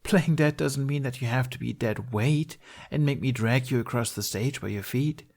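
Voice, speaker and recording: A man's voice: medium pitch, normal speed, a little mellow, voice pushed up, natural voice. A man voicing a caring, teasing young woman in a storybook, fretful and playfully chiding. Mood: playful exasperation.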